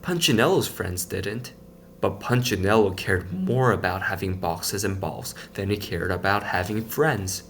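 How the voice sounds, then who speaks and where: A man reading a children's picture book aloud in an expressive, playful storytelling manner. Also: deep voice, a little slow, a little hiss or hum.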